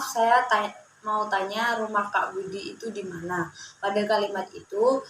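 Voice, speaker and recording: A young woman, a university student, reading her class presentation aloud at a steady pace and often restarting words she trips over. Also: mid-range voice. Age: young adult. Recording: echoey room with faint background noise.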